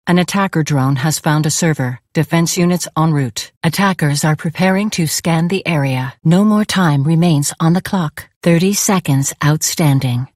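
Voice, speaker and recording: Female military AI, low pitch, dry close sound, calm, impersonal, clipped formal game announcements. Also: no room sound. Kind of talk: video game system announcements.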